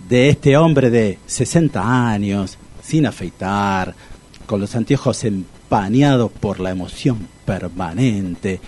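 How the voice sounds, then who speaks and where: An actor playing an anxious, argumentative man in his sixties in a comic radio drama, with a husky Rioplatense Argentine accent and a pedantic, repetitive manner. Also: on the low side, dark tone, exaggerated voice. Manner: exasperated, pedantic, repeats himself. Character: Tito, anxious, argumentative sixty-year-old man, lovesick amateur psychologist.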